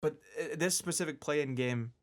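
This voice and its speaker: Casual male sports podcast host, slightly high voice, loose rambling delivery, repeats himself to hammer points home. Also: moderate pace. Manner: rambling, repeats phrases for emphasis. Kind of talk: casual solo sports commentary.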